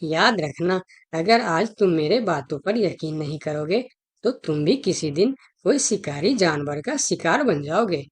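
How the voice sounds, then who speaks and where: Clever cartoon vixen, acted by a woman: persuasive, insistent, lowish voice with very mobile intonation. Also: lively intonation, measured pace, breathy edge. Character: clever, persuasive female fox arguing her case. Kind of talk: Hindi children's cartoon dialogue.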